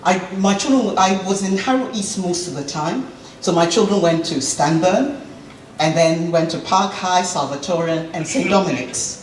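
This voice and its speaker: A woman's voice: fairly low pitch, normal speed. A middle-aged woman candidate giving an earnest, passionate campaign speech at a local debate. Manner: earnest, passionate, repeats herself. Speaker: election candidate. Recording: roomy hall, distant mic, faint noise.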